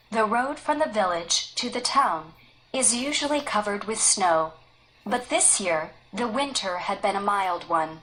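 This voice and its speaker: Slow, careful woman reading a story. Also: mid-range voice, fairly bright tone, poor audio. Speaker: story reader. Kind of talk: read-aloud storybook narration.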